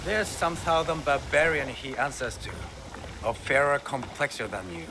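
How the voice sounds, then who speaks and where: A man's voice: medium pitch, lively intonation, moderate pace, noisy background, natural voice. A man playing a grandiose, zealous samurai recruiter in a video game cutscene, talking in a florid, ingratiating, theatrical way. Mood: zealous, assured.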